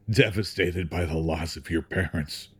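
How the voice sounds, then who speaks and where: A man plays an ailing old chairman in an audio drama. His voice is low and husky, and he speaks very slowly, halting as he reflects with weary regret. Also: heavily acted. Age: older. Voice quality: husky, frail, with throat clearing and coughs. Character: sickly, wheezing old corporate chairman, wistful and regretful.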